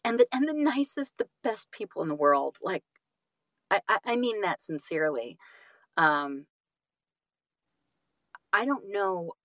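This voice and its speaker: A woman's voice: mid-range voice, unhurried, long pauses, no room sound, studio quality. A woman speaking as a guest audiobook narrator and actor in a casual interview, earnest and effusive, slipping into little acted-out asides. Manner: expressive, acts out little quotes and asides.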